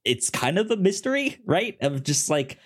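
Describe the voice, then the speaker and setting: A man co-hosting a casual film chat in a halting way, often repeating the starts of words. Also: on the high side, very animated. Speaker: podcast co-host.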